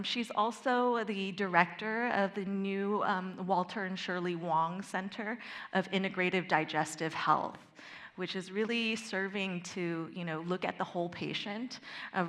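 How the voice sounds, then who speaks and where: A woman's voice: lowish voice, fairly even intonation, unhurried, a bit bright. A woman moderating a panel discussion, introducing guests and asking questions, with frequent ums.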